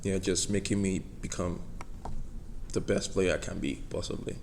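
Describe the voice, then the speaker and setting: Deep, flat-voiced young Nigerian man. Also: normal speed, gravelly, slight background noise. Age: young adult. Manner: soft-spoken, many "you know" fillers. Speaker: college football player. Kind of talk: press conference answers.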